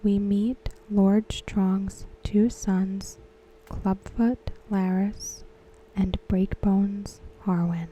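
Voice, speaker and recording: Soft whispering woman, dark and slow. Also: medium pitch, little pitch movement, slight background noise, low-quality recording. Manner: whispered, unvoiced and close. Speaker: ASMR host. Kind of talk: soft-spoken ASMR commentary.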